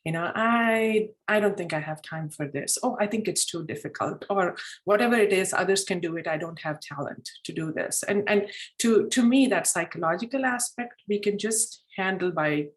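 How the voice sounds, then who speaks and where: A woman's voice: medium pitch, normal speed, few pauses. A woman whose first language is Telugu, earnestly explaining her points step by step as a club mentor on a video call. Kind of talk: answering in a virtual meeting.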